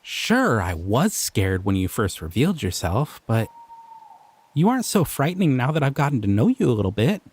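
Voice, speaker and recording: A man voicing a shy, gentle male slime creature in an audio roleplay, soft-spoken and hesitant, often trailing off. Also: medium pitch, sing-song, normal speed, breathy edge. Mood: gentle, curious.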